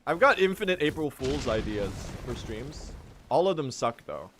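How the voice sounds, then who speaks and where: A late-teen man from Sweden, a native Swedish speaker, chatting casually on a gaming livestream in a rambling, joking way with sudden excited outbursts. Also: medium pitch, normal speed, slight background noise. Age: late teens. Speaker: streamer.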